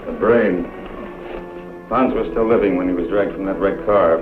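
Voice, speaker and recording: An actor playing a coolly matter-of-fact transplant scientist in a 1960s B-movie style. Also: male voice, low-pitched, moderate pace, natural voice. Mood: clinical. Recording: tinny echoey old film soundtrack with music.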